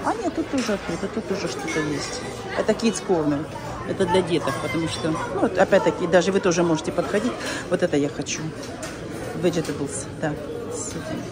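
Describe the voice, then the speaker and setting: A woman's voice: fairly low pitch, lively intonation, fairly quick. A woman vlogging a walk through a ship's buffet, chatting casually and thinking aloud as she films on a phone. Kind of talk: casual walking tour narration. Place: cruise ship buffet restaurant, indoors. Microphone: handheld phone camera. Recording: loud crowd noise around.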